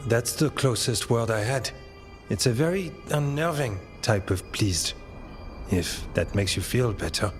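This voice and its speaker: An actor playing an uneasy male warden in a video game, speaking in a measured, halting way. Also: medium pitch, lively intonation, soft background music, close to an everyday voice. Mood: uneasy, searching. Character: uneasy male warden who senses a corrupted song. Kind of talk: game dialogue acting.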